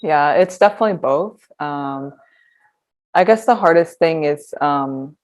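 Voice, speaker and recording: A woman in her twenties, a podcast guest talking reflectively, pausing often with ums. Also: low voice. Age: 20s. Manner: reflective, hesitant with frequent ums. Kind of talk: conversational interview.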